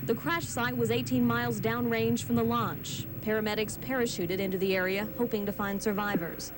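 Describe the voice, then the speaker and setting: A woman's voice: on the high side, steady tone, moderate pace. An American woman narrating a scripted television news report. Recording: old TV broadcast, clear background noise.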